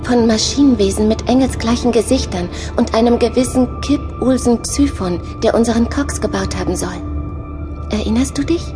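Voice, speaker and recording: A woman voicing a female onboard computer in an audio drama. She speaks softly and earnestly, lonely and longing to have a body. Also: a little high, moderate pace, natural voice. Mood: troubled. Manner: soft-spoken, earnest, searching. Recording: music underscore behind voice.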